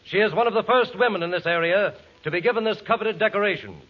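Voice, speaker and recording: A man's voice: sits high, quick pace. A man reading a formal scripted tribute as a 1940s radio announcer. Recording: dull 1940s radio recording.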